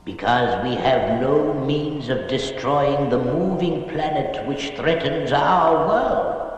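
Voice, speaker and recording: An actor playing a grave, gentle alien elder in a science fiction series, speaking in measured, formal phrases. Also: male voice, on the high side. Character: solemn, gentle alien elder of a peaceful race. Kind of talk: 1960s children's science fiction puppet series acting. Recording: dull recording, long cavernous reverb, music behind.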